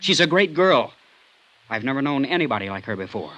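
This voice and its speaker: A man's voice: medium pitch, sing-song, fairly quick, sharp tone, muffled recording. An actor playing a defensive, lovestruck man, earnest and insistent, in a vintage radio drama. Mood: insistent, devoted. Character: defensive, lovestruck man, hot-headed and loyal. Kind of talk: 1950s radio drama acting.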